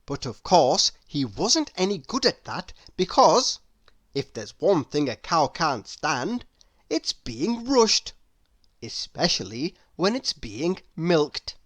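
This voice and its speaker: Slow, very lively woman reading a storybook. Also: sits low. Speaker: storybook reader. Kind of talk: expressive children's read-aloud narration.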